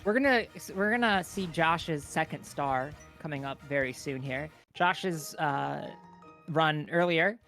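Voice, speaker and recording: A man's voice: fairly high pitch, a bit bright, soft background music. A man co-commenting a livestreamed speedrun event in a chatty, playful way. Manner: chatty and playful, with quick restarts. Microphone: own microphone, talking close into it.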